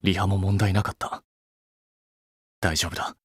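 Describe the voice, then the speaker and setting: A man's voice: deep voice, little pitch movement, a little slow, slightly rough, no room sound, studio quality. A man playing an earnest, blunt young male idol in a Japanese mobile game, with a restrained way of talking. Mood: cool, steadying himself.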